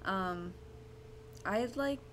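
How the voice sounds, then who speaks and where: A woman's voice: mid-range voice, lively intonation, very slow, fairly bright tone, a little hiss or hum. A woman in her twenties co-hosting a podcast in casual chat and asking questions. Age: 20s. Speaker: podcast co-host.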